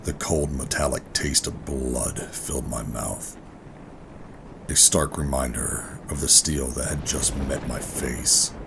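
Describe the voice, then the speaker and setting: An actor playing a bitter, defiant sci-fi operative in a noir audio drama, brooding and deliberate in delivery. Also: male voice, fairly even intonation, background noise, full character voice. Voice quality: very deep, gravelly. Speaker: narrator and lead character. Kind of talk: noir first-person audio drama narration and dialogue. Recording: dramatic music behind the voice.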